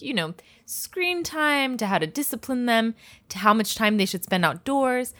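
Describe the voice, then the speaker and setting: A woman's voice: medium pitch, a bit bright. A woman hosting a podcast, talking in a chatty way with fillers and restarts. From United States. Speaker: podcast host. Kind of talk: podcast interview.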